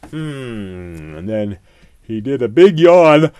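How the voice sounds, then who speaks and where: A man telling an improvised children's bedtime story in a playful, animated way, with dramatic pauses and hushed moments. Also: fairly low pitch, slight background noise.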